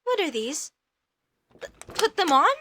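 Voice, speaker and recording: A young elf mage girl, acted by a woman, speaks in a high, bright voice at an unhurried pace. She is formal and stilted, full of questions and quick to get indignant or flustered, as in a comedic audio roleplay. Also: very animated, exaggerated voice.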